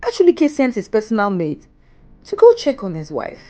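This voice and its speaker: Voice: mid-range voice, wildly swooping pitch, moderate pace, mellow. A narrator telling a scripted folktale in a solemn, theatrical way.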